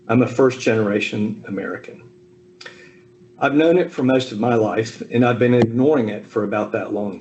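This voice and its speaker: Man, 50s, from the US South, slightly low voice, easygoing talk with ums over a video call, faint hum behind. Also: poor audio. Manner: relaxed, with frequent ums and self-deprecating asides. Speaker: speaker at a Zoom talk. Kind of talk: personal family history talk.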